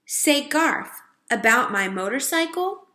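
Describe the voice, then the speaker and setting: A woman's voice: high voice, sing-song, takes it slowly, sharp tone, pitched above the performer's own voice, full character voice. A woman voicing a proud, indignant little male mouse with a cheeky manner. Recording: roomy echo.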